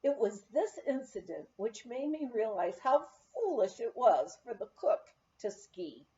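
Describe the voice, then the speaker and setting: Female audiobook reader, mellow and unhurried, expressive memoir storytelling, slightly muffled sound. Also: a little high. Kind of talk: memoir read aloud, storytelling. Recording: slightly dull, lo-fi recording.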